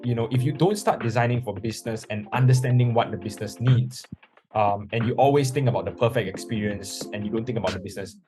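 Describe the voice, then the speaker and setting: A man's voice: mid-range voice, fast, music in the background. A young man from Singapore, telling his story as a podcast guest in an earnest way full of "you know" fillers. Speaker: guest, startup founder.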